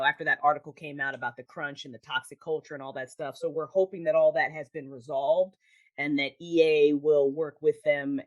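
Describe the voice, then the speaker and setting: A woman chatting casually as an interview guest, animated and enthusiastic like a devoted fan. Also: extremely low pitch. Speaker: podcast guest, writer and audio drama creator.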